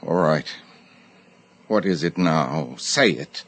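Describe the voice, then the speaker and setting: Indignant, very deep-voiced judge. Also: male voice, expressive, slow pace, exaggerated voice. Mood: curt, exasperated. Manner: curt, indignant, demanding. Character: proud, hot-tempered middle-aged judge cornered by a blackmailer. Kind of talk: radio drama acting.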